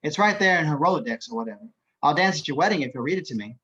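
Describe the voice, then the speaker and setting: A sly, courtly imprisoned psychiatrist acted by a man, slightly high in pitch and quick of tongue. He is precise and politely mocking, heard over a dull video call. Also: lively intonation, fairly bright tone, husky. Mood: falsely folksy charm. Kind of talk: screenplay table read.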